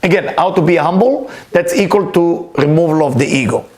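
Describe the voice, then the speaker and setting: Man, high-pitched, earnest spiritual teacher, emphatic, repeats words. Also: brisk, darkish tone. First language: Hebrew. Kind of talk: weekly inspirational talk.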